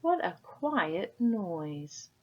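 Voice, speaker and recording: Woman voicing an exasperated man, swooping wildly in pitch. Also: sing-song, extremely slow, breathy edge, exaggerated voice. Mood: relieved, calm. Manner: emphatic, complaining. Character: fed-up, exasperated man with a noisy house. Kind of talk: children's storybook read-aloud. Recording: dull, lo-fi sound.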